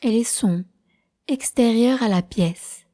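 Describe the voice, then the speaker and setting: Slow, mellow woman guiding a meditation. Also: a little high. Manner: calm, measured, soothing instruction. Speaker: guided meditation teacher. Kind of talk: slow guided prenatal meditation narration.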